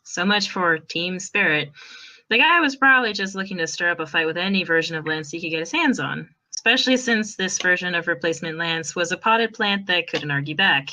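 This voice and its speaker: A woman's voice: on the low side, animated, a little fast, bright, forceful tone. A woman reading fan fiction aloud as narrator, with wry, dramatic emphasis.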